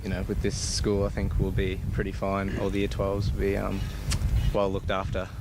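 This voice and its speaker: A man's voice: low-pitched, monotone, moderate pace, creaky. A teenage Australian male student giving an informal interview in a hesitant, modest manner. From Torquay, Victoria, Australia. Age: teens, about 17 to 18. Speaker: student school captain, interviewee. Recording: noisy outdoor location, traffic ambience.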